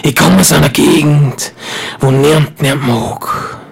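A man with an Upper Austrian accent putting on a gruff, raspy rural voice to chant a poem in a radio studio. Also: a little high, fairly even intonation, unhurried, full character voice. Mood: brooding. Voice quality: gravelly, raspy. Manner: chanting repetitive poem lines, swinging between loud and whispered.

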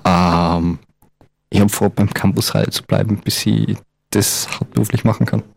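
A man's voice: lowish voice, steady tone, moderate pace, vocal fry. Joking young man radio co-host. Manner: joking, rambling, many fillers. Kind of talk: casual radio chat.

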